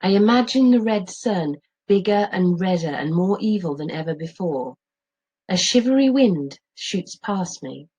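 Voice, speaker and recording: Animated, slightly husky woman reading aloud. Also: lowish voice, unhurried. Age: middle-aged. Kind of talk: expressive children's story narration and lesson.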